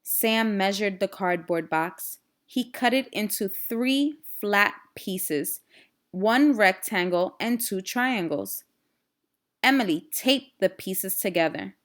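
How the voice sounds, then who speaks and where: Female storybook reader, middle pitch, unhurried, expressive, explanatory with children. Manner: expressive, explanatory, addressing young listeners. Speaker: read-aloud storyteller and teacher. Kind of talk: children's storybook read-aloud with teaching asides.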